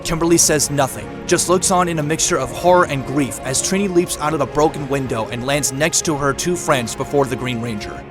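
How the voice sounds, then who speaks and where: A man's voice: a little high, fairly quick, few pauses. A man reading scripted dramatic narration for an audio drama, describing action scenes as a storyteller. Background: clear dramatic music.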